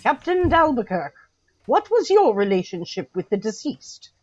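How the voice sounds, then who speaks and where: A woman's voice: on the high side, big pitch swings, moderate pace, dry recording, highs cut off. A woman playing a stern, formal official who questions witnesses at an inquest in an audio drama. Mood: formal, probing. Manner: formal, courtly, commanding.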